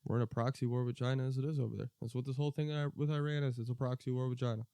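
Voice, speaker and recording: A man's voice: mid-range voice, fairly even intonation, racing, dark tone, smooth, no room sound. An American man co-hosting a podcast, chatting casually about politics in a blunt, profane, opinionated way. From United States. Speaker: podcast co-host.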